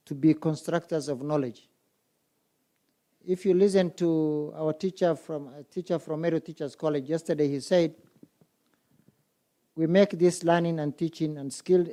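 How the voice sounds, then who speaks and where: A man from Kenya speaks at an education symposium, his voice pitched a little high with a warm, mellow tone. He moderates the session by firing rhetorical questions at the audience. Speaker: conference moderator. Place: conference hall.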